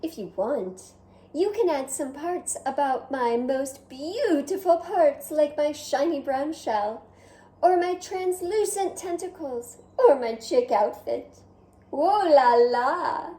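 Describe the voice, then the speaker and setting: A woman's voice: sits high, animated, slow pace, warm tone. A woman voicing a vain, dramatic male French snail who speaks theatrically, admires himself and talks straight to the listener. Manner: theatrical, self-admiring, addresses listener directly. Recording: roomy echo.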